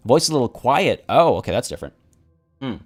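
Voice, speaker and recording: A man live-streaming a game, chatting casually and rambling with many restarts, his voice a little rough from the morning. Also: medium pitch, very animated, fast, soft background music.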